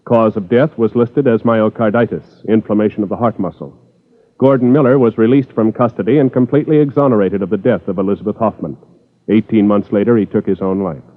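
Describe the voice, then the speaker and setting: A man's voice: lowish voice, fairly even intonation, fairly quick. An American man narrating a case report formally and impersonally in 1950s radio style. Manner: official, impersonal. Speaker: announcer. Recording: tinny old radio, long reverb.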